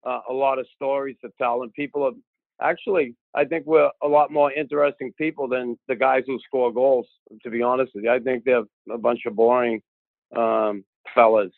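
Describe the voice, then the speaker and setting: Gravelly Boston man on a tinny line. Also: mid-range voice, steady tone, moderate pace, no echo. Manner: gruff storyteller, frequent "uh" and restarts. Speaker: podcast guest, former hockey enforcer. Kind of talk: casual podcast conversation. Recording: tinny phone-like line, dry.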